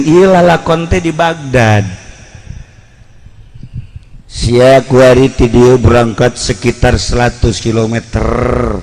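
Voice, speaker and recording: A man's voice: mid-range voice, a little mellow. A man with a Sundanese accent preaching through a folk tale, in an earthy, blunt storytelling way that acts out the dialogue. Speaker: Islamic preacher.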